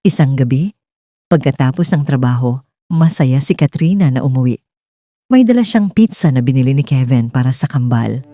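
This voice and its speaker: A woman's voice: a bass voice, animated, normal speed, mellow. A woman with a Filipino accent narrating a scripted romance story in a dramatic style.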